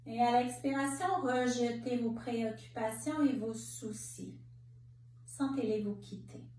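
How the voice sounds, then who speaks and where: Slow-speaking woman guiding relaxation, roomy sound. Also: fairly high pitch. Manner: calm, measured, instructive, with pauses between short phrases. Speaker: yoga nidra instructor. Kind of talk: guided relaxation meditation. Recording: roomy, distant mic, faint background noise.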